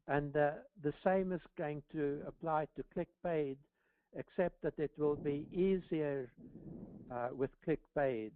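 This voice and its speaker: A man answering callers' questions on a live call, speaking haltingly and deliberately and often repeating words. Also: fairly high pitch, clean tone, dry recording. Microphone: phone or conference call line. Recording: narrow phone-line sound.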